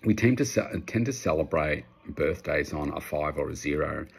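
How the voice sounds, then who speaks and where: Very deep, gravelly Australian man. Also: expressive, normal speed. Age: 50s. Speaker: personal trainer answering audience questions. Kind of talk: casual unscripted advice talk.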